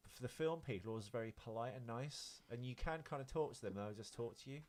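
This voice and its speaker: A man's voice: medium pitch, fast. A British man hosting a podcast, telling rambling anecdotes in an informal, sweary, self-interrupting way. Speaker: podcast host. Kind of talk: casual chat, rambling anecdotes.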